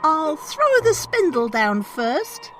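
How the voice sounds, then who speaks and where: A spoiled little girl played by a woman from a children's storybook cartoon, whiny and greedy. The voice sits high and swings up and down with lively expression, over faint music. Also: takes it slowly, full character voice. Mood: scheming.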